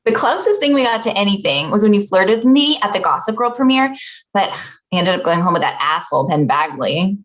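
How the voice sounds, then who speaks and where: A woman's voice: medium pitch, big pitch swings, fairly quick, lightly acted. A woman reading the part of a sarcastic, easily insulted young woman, snippy and incredulous, at a comedy table read.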